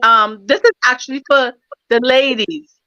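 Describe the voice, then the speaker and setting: Hesitant woman with a bright, dry sound. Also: high voice, fairly even intonation, measured pace, no room sound, muffled recording. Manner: hesitant, searching for words. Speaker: guest. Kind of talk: casual live-stream chat.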